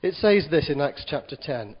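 A man preaching a sermon in church, husky from a cold, chatty and self-deprecating with stammered restarts. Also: a little high, very animated, normal speed, lo-fi sound. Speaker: visiting preacher.

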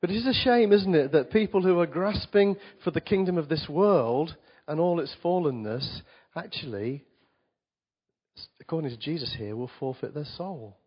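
A man preaching a sermon to a congregation, his voice a little croaky from a cold, chatty and asking the people questions as he reads scripture. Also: on the high side, lively intonation, normal speed. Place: church service before a congregation. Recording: dull lo-fi recording.

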